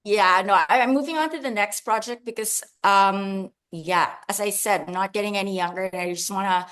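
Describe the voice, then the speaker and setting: A woman's voice: medium pitch, a little fast, a bit bright. A woman from the Philippines, an author answering interview questions in a chatty, laughing, self-deprecating way over a video call. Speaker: author guest.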